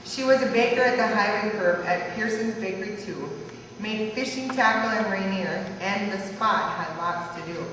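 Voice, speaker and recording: A woman reads a rhyming tribute aloud in a very echoey hall, picked up from a distance. There is clear noise around her medium-pitched voice. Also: moderate pace. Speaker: relative reading a birthday tribute. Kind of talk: reading a rhyming life story aloud. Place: large echoey room at a family party. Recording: echoey hall from far off, noisy.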